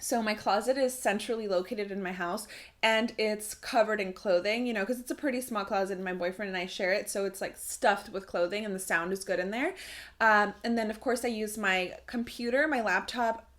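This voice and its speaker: Young female vlogger, medium pitch, chatty and casual, explaining step by step to viewers. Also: fairly even intonation, brisk, fairly bright tone. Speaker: voice actor and video creator. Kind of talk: casual tutorial vlog to camera.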